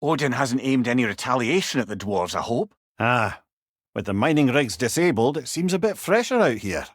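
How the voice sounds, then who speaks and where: A man's voice: medium pitch, very animated, moderate pace, no room sound, studio quality. A man voicing a wise, witty old Scottish advisor in a video game, with a wry, eloquent and rueful manner. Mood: advising. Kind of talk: video game character dialogue.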